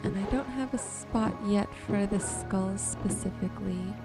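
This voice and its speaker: Slow-talking woman, ASMR gaming commentary over music. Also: mid-range voice. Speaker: gaming streamer and host. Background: music and rain sounds.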